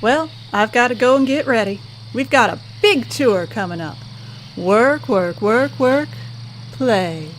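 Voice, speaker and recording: A woman's voice: a little high, expressive, unhurried, low-quality recording. A woman voicing a folksy, hospitable squirrel hotel keeper in an audio drama. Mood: breezy. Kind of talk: audio drama character acting.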